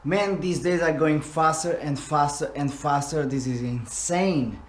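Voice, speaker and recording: A tired, hoarse man, a drum teacher, talking casually to camera in a vlog about his teaching day. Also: on the high side, unhurried, a little hiss or hum.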